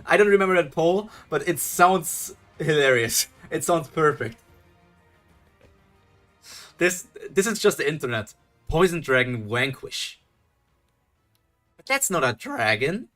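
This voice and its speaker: A man's voice: on the high side, expressive, measured pace, pauses often, fairly bright tone, soft background music. A young man from Germany with a German accent, chatting loosely as he reacts to a webtoon live and answers his chat. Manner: chatty, thinks aloud, restarts and chuckles, answers chat. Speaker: streamer reading webtoons live.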